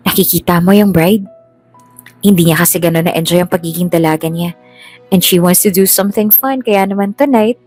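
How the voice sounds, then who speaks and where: A woman's voice: on the low side, normal speed, darkish tone, soft background music, lightly acted. A woman voicing a scheming young stepsister in an audio story, coaxing with false sweetness. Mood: persuasive. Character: Cecilia, sly scheming evil young stepsister. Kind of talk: dramatized Tagalog audio story.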